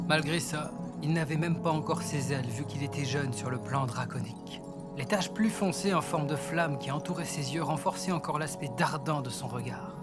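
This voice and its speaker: Male story narrator over loud music. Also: medium pitch. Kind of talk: scripted fantasy story narration.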